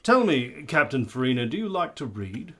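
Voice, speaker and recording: A man's voice: medium pitch, big pitch swings, moderate pace, a bit bright, a little hiss or hum. A man playing a blustery, condescending army colonel in a period radio drama, with a pompous, florid delivery. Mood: genial condescension.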